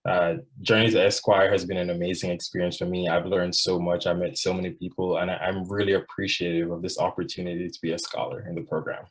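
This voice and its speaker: Casting: man in his twenties from Jamaica, lowish voice, sincere and reflective, filler-heavy delivery. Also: little pitch movement, fast, few pauses, rough recording. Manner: earnest, reflective, frequent "like" and "um" fillers. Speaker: law student scholar. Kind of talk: prepared personal presentation.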